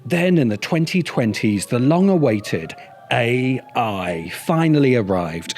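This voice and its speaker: A man reading scripted video essay narration in a measured, emphatic and wry manner. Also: medium pitch. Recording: music bed under the voice. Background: background music.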